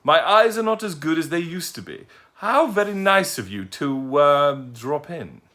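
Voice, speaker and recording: A man's voice: expressive, measured pace, a bit bright. A man putting on a prim, fussy old lady. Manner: prim, scolding, high and quavering. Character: fussy, kindly old lady with poor eyes.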